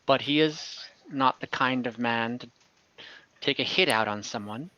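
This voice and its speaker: A man voicing a prim, guarded town clerk who questions the players slowly and carefully. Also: medium pitch, sharp tone, no room sound, lo-fi sound. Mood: puzzled.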